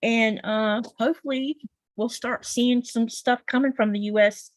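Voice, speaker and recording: A woman's voice: on the high side, steady tone, unhurried, no room sound. A woman with a Southern US accent, chatting casually on a livestream in an explanatory, folksy way. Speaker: quilter guest on a livestream.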